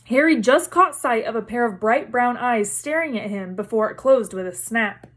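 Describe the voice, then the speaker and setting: Female storybook narrator, read-aloud delivery, voice set a little high. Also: normal speed, fairly bright tone.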